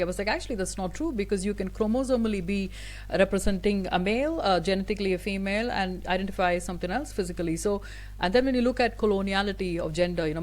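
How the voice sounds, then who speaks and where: A woman whose first language is Tamil, a guest speaker answering an interview at length in an earnest, thoughtful way, with frequent fillers and restarts. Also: mid-range voice.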